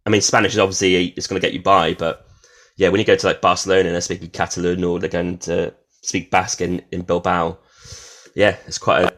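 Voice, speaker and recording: A man with a fairly low voice hosts a podcast interview. He sounds relaxed, laughs easily and thinks aloud while framing his questions. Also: fairly quick. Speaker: podcast host. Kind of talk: casual interview.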